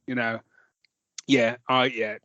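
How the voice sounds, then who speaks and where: A man's voice: medium pitch, level tone, takes it slowly, bright, forceful tone, no echo. A British man co-hosting a podcast, chatting about comics in an informal, sweary and enthusiastic way. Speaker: podcast co-host. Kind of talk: casual chat about comics.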